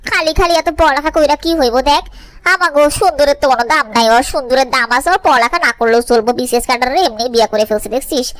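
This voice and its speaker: A woman's voice: high voice, very fast, natural voice. A woman voicing an envious, gossipy young friend in a cartoon, with catty, colloquial talk. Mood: envious, resigned. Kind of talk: cartoon voice acting.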